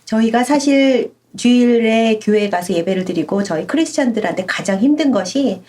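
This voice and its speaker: A woman hosting a radio interview, listening attentively and often murmuring soft agreement. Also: fairly high pitch, lively intonation, moderate pace. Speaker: radio host.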